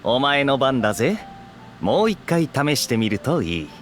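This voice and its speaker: A suave young cavalry captain from an anime game, played by a man. He is nonchalant and teasing, with a lively, fast delivery and faint music behind. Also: medium pitch, close to an everyday voice. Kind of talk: Japanese anime game voice acting.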